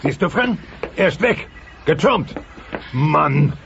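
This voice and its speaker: A man's voice: a little high, lively intonation, slow, vocal fry, exaggerated voice. An actor playing a grumpy, indignant middle-aged dinosaur father, theatrical and bossy, in a dubbed comedy. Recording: dull cassette transfer with noise.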